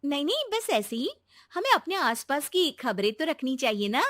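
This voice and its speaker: A gentle, determined young wife, played by a woman in an animated family tale. She speaks in a high, clean-set voice with no room sound, coaxing and respectful as she makes her case. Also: moderate pace, dry recording, studio quality. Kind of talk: animated Hindi moral-story voice acting.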